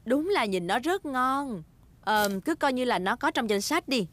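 Lively, higher-voiced cartoon mummy pig. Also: female voice, a little slow. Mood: calm. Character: kindly, sensible mother pig. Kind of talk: children's cartoon dub. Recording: noisy background ambience.

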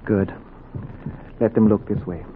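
An American actor playing an earnest, determined young surgeon in 1940s radio style, clipped and urgent. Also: male voice, on the low side, fairly even intonation, measured pace, narrow, tinny audio, close to an everyday voice. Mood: tense, commanding. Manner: clipped commands, urgent pleading. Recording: noisy, thin 1940s radio recording.

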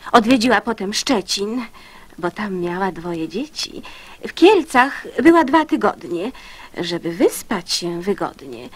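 Female storyteller for children's verse: lively, slightly high, husky, deliberate. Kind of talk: expressive recital of children's verse.

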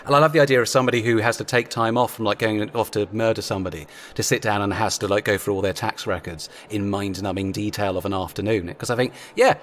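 Fast-talking man, low-voiced, chatty. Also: steady tone. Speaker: audio drama writer. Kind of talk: casual, chatty discussion.

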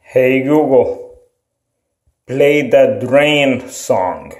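A man in a small bathroom, slowly and flatly giving voice commands. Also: medium pitch, soft background music. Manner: slow, flat, deliberate commands. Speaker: video creator. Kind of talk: repeated voice commands. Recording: echoey bathroom.

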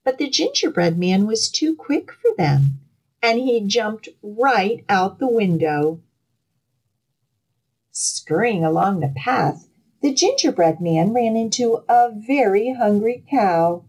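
Slow, animated woman reading a storybook. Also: mid-range voice, husky, poor audio. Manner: deliberate, dramatic storytelling. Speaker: children's librarian, storytime reader. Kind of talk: expressive picture-book reading.

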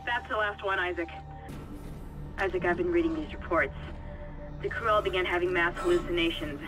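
A man's voice: high voice, a little fast, music in the background, rough recording. A man voicing a worried space crew technician over radio, tense and earnest, in a game parody. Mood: uneasy.

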